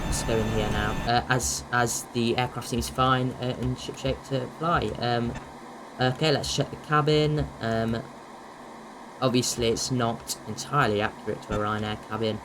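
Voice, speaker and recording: A young man from Ireland with an English accent, chatting casually through a flight simulator walkaround with lots of ums. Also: mid-range voice. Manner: chatty, filler-heavy with many ums. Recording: loud air conditioning and vehicle hum.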